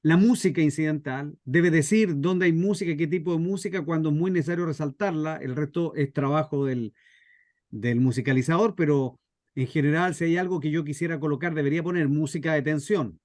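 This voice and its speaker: A man's voice: fairly high pitch, brisk, a little mellow, dry recording, studio quality. A man with a Chilean accent explaining things informally, as the teacher of a workshop. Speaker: scriptwriter leading a radio drama workshop.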